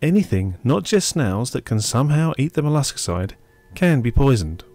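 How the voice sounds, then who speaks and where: Lively-voiced male documentary narrator. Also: medium pitch. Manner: measured, informative delivery.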